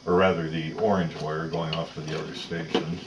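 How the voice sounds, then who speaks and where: Male tutorial presenter, low register, methodical and detailed, talking while working, with slight background noise. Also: level tone. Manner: methodical, detailed, unhurried explaining. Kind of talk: step-by-step hands-on instruction, talking while working.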